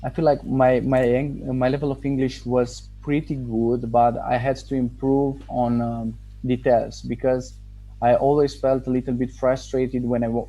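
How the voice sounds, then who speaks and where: Mellow-voiced man, halting and thoughtful. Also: medium pitch, highs cut off. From Romania. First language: Romanian. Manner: thoughtful, halting, with restarts and pauses. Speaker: student giving a testimonial. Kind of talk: casual interview answers.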